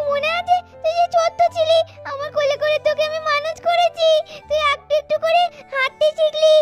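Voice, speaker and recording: Casting: imploring cartoon girl, devoted and distraught, extremely high squeaky voice, music behind. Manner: repetitive, imploring. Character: devoted elder girl cousin, distraught and pleading. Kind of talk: melodramatic doll-story cartoon acting.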